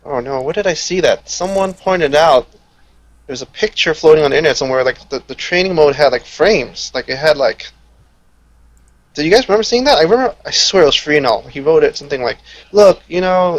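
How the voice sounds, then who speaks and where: Guy w a bit higher voice, real dry close audio, casual rambly chat. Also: no room sound.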